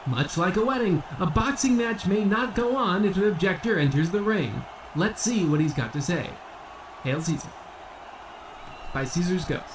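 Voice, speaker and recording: A man's voice: high voice, expressive, moderate pace, noisy background. A man playing a grandiose boxing ring announcer obsessed with ancient Rome, in an old-time radio comedy style. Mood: astonished, dramatic. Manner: grandiose, theatrical showman delivery.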